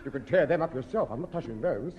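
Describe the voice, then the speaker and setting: Man playing fussy, high-voiced English cinema usher. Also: animated, quick pace, close to an everyday voice. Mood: unhelpful, dismissive. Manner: curt, officious. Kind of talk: 1950s British radio comedy acting. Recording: muffled old radio recording.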